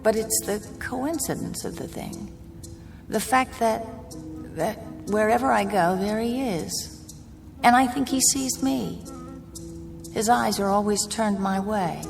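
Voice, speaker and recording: A woman's voice: medium pitch, takes it slowly, vocal fry, music in the background. An actress playing an anxious, haunted young woman traveling alone in a radio drama, speaking in a hesitant, polite, uneasy way. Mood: haunted, brooding.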